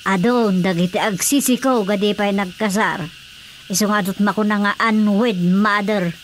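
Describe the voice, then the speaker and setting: A woman's voice: medium pitch, steady tone, normal speed, natural voice. Older village wife, faint background noise acted by a woman. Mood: concerned. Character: older village wife gossiping with her husband. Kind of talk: radio drama dialogue.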